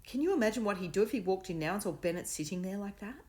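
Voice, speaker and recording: A woman voicing a sharp-tongued schoolgirl who scolds and warns bluntly. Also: medium pitch, lively intonation, fast talker, voice pushed up, close to an everyday voice. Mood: warning.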